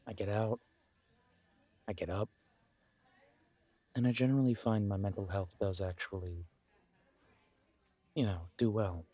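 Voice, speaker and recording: Slow, low-voiced, reassuring best friend played by a man. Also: fairly even intonation, no room sound. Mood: gentle, reassuring. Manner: hesitant, gently encouraging, halting phrases. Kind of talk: intimate roleplay audio, one-sided casual conversation.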